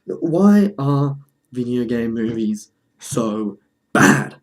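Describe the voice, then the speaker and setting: A man presents a casual video commentary in a mid-pitched voice. His delivery is dry and jokey, and he often interrupts himself as he talks. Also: sing-song, extremely slow. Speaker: video host.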